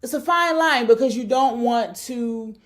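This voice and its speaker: Reflective woman, higher voice. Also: unhurried. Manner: thoughtful, searching, many fillers and self-corrections. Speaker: academic speaker. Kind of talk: reflective research talk.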